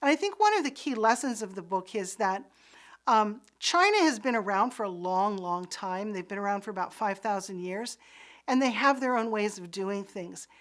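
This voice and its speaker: A woman's voice: medium pitch, expressive, moderate pace. Woman giving an earnest lecture. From Pakistan. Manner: earnest, explanatory, some restarts. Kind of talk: recorded course lecture.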